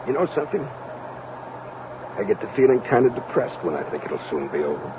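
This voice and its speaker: Breezy older Army major, muffled old radio. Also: male voice, big pitch swings, fairly quick. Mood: wistful, frank. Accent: American. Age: 60s. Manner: breezy, hearty, plain-spoken. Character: sixty-year-old Army major, cocky optimistic rocket crewman. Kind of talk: vintage American radio drama acting. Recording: muffled vintage radio recording, loud hiss, rumble.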